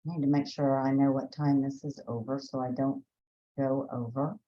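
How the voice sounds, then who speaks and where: Low-voiced woman with a Southern accent. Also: steady tone, normal speed, warm tone, reverberant, lo-fi sound. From Texas. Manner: chatty, thinks aloud with restarts and fillers. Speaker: presenter. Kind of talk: webinar training for teachers.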